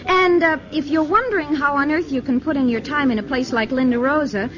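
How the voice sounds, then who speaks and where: A woman's voice: high voice, a little fast, lightly acted. A woman playing an eager, admiring young graduate. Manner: bright, enthusiastic, insistent. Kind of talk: 1950s radio drama acting. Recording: muffled vintage radio broadcast with crowd noise.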